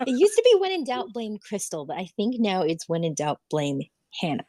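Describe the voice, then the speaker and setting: A woman's voice: medium pitch, very animated, normal speed, darkish tone, dry recording. A woman panelist in casual banter, throwing out wry, teasing quips with other voices behind her. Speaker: after-show panelist.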